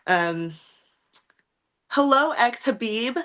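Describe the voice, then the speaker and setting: A young woman with a deep voice, chatting frankly in a rambling livestream, answering viewer questions with lots of ums, likes and swearing. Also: lively intonation, drawn-out words, a bit bright. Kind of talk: casual livestream chat.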